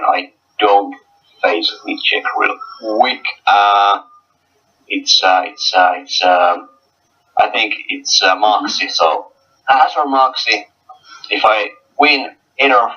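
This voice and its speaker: A young man from Finland with a Finnish accent, a guest coach talking in a casual online interview, halting with many fillers and restarts. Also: on the low side, breathy edge, recorded from a distance, dull audio.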